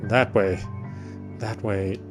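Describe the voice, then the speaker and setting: A man's voice: lowish voice, steady tone, slow. A man streaming a game, reading visual novel narration aloud in a dramatic way. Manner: dramatic reading with offhand asides. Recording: game music behind the voice.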